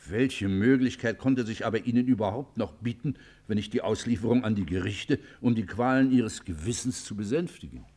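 A man performs a stern priestly confessor in a classical radio play. The voice is low, and the delivery is solemn and measured. He moralises reproachfully in formal literary language. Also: dark tone, close to an everyday voice.

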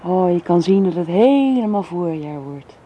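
An actress playing an affectionate young governess talking soothingly to a small child in a radio drama. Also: female voice, lowish voice, lively intonation, moderate pace, mellow, a little hiss or hum, close to an everyday voice. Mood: affectionate, playful.

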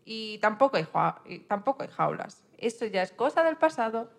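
A woman playing a cheerful, reassuring female rabbit in a children's radio story, with a friendly, animated manner. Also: on the high side, moderate pace, creaky, full character voice. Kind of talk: amateur radio-play acting of a children's story.

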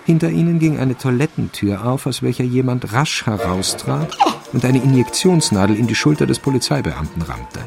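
An actor as a dry, deadpan male narrator of a crime radio play. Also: mid-range voice, normal speed, vocal fry. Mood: wry. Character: dry, wry male storyteller of a crime tale. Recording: faint music behind the voice.

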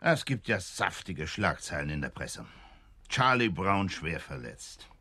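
An actor playing a stern, sardonic senior police inspector in a radio drama, curt, biting and sceptical. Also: male voice, sits low, sing-song, measured pace, over loud music. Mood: sarcastic.

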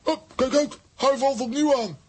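High, husky, blustery male adventurer. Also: normal speed. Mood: alarmed. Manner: exclamatory, bossy. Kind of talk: children's audio drama acting.

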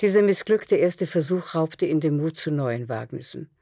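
A woman's voice: low voice, expressive, moderate pace, dark tone. A woman narrating a literary radio drama in a scripted, storytelling delivery. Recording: music behind the voice.

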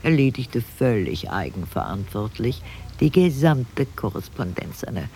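A proud, formal man in a radio play. He itemises things in a dark, gravelly voice with lively pitch swings. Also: a little slow, slight background noise. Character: formal man proudly reciting a woman's career record. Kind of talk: German radio drama acting.

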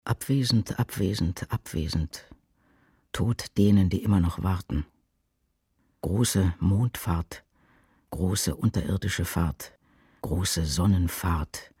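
A man reciting poetry for a radio drama in a grave, measured, declamatory way. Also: deep voice, mellow, husky, no echo, studio quality, full character voice. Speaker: reader in a radio adaptation of a poem cycle. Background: music.

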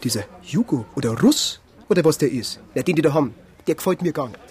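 An actor playing a grumbling, easily offended amateur footballer and firefighter, speaking colloquial Bavarian in a naturalistic radio drama. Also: male voice, a little high, lively intonation, fast, darkish tone, lightly acted. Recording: outdoor crowd noise behind. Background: football ground crowd.

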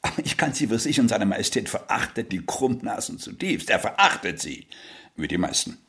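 A pompous Prussian official in a radio play. His gravelly, creaky voice swings widely in pitch as he lectures and insinuates with a bigot's sneering condescension. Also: sing-song, a little fast, fairly bright tone. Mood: pompous, lecturing. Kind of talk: German radio drama acting.

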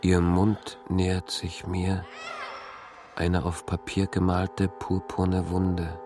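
An actor playing a haunted man tormented by dreams and memories, in a halting, brooding, intimate radio drama monologue. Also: sits low, completely flat delivery, over music. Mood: anguished, oppressed. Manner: halting, brooding, at times whispering.

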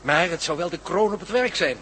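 An actor playing an obliging but doubtful professor in a radio play, speaking in a measured way. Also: male voice, high voice, lively intonation, a bit bright. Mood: doubtful, willing. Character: obliging, modest, underfunded professor. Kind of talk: radio drama acting. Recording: faintly noisy, humming radio recording.

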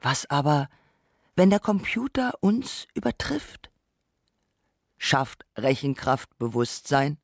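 A woman's voice: low-pitched, sing-song, slow pace, creaky, pauses often, dry recording, clean studio sound. A woman reading scripted narration for a radio documentary.

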